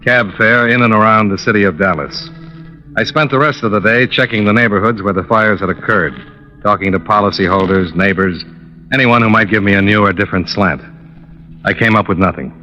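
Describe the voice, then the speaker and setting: A man's voice: lowish voice, a little fast, sharp tone, faint music behind. An actor playing a cool, probing insurance investigator who narrates his case in a clipped, businesslike old-time radio detective style. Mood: matter-of-fact. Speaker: lead character and narrator. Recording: dull, band-limited vintage radio recording.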